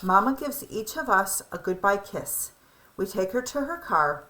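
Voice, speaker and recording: A young woman reading a children's picture book aloud in an expressive storybook style. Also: mid-range voice, a little slow, slight background noise, poor audio.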